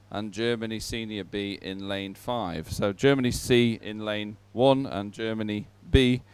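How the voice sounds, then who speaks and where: A man presenting a live sports event off the cuff, in a chatty, somewhat halting manner. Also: fairly low pitch, smooth.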